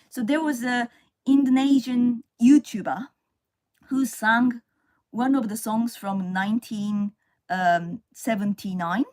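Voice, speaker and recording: Slow-speaking Japanese woman streaming live. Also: on the high side, a little mellow. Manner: unhurried, stops often with fillers, greets viewers. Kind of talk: casual live-stream chat.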